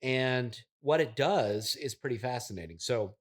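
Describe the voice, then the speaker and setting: A man playing a smug, oblivious app developer in an improvised comedy interview, deadpan, halting and condescending. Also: medium pitch. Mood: earnest pitching. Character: smug, oblivious affluent male app developer, touchily defensive. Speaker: interview guest.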